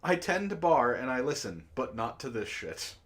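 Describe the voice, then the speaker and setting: Bright-voiced man reading aloud. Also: medium pitch, moderate pace. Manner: laughing often, reading deadpan narration. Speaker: host reading a book aloud with friends. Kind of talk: casual group reading and chat.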